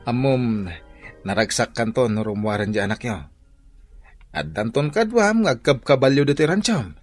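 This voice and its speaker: A man's voice: medium pitch, lively intonation, normal speed, no echo, soft background music, lightly acted. An actor playing a teasing, chummy male buddy in a radio drama. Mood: teasing, encouraging.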